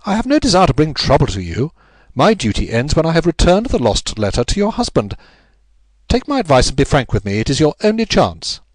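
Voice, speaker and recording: Brisk english gentleman detective, guy reading a story, commanding, urgent, raised pitch, very animated. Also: no room sound, low-quality recording. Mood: stern, pressing. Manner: incisive, urgent, authoritative.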